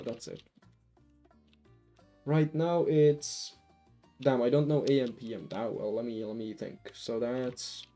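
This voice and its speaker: Finnish-accented male streamer, mellow tone, faint music behind, loose rambling delivery. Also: medium pitch. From Finland. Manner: rambling, lots of restarts and fillers. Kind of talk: casual live-stream chat while gaming.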